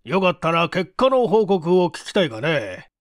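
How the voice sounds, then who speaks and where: A man voicing a weighty, insistent company president in a storybook reading, formal, pressing and deliberate. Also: on the high side, sing-song, no echo, clean studio sound. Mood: probing.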